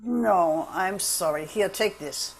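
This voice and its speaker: A woman's voice: on the low side, slow, vocal fry, slightly rough, heavily acted. A woman reading the part of an anxious, loyal Frenchman in a radio drama. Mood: wary. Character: anxious, loyal young Frenchman, friend of the hero. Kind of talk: table read of a radio drama. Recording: faint noisy home virtual-call recording.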